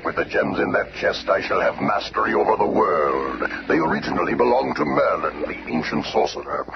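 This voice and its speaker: A grandiose, menacing villain, pitched extremely low and gravelly. He declaims pompously, as radio drama villains do, on a muffled recording full of noise. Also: expressive, brisk, full character voice. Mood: gloating. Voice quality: gravelly, very deep. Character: imperious arch-villain, grandiose, scheming and menacing.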